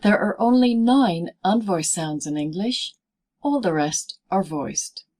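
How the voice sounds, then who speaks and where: A woman presenting a scripted pronunciation lesson as a teacher, speaking in deliberate, clearly segmented phrases. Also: mid-range voice, animated, darkish tone. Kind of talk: scripted instructional narration in easy English.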